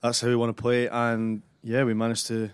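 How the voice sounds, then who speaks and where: A man co-hosting a sports podcast, relaxed and modest as he talks about a match. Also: mid-range voice, brisk, smooth, dry recording. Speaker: co-host and player. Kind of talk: casual sports podcast chat.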